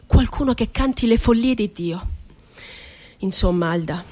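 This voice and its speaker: An actress performing a theatrical monologue as an impassioned, rebellious, poetic woman, declaiming with deliberate, emphatic phrasing. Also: medium pitch, darkish tone, natural voice. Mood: dreamy, excited, then tender, dreamy. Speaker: stage actress.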